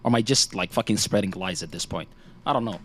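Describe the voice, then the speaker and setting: A man's voice: deep voice, fast talker, a bit bright. Young man, casual call chat, blunt teasing, constant swearing. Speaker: interviewer on a stream call.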